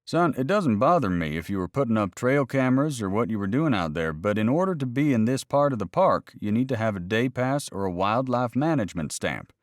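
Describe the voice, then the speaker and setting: A man's voice: medium pitch, very animated, a little fast, few pauses, no room sound, studio quality, pitched above the performer's own voice, lightly acted. A man voicing a stern, officious male game warden in a read-aloud story, clipped and addressing people as son.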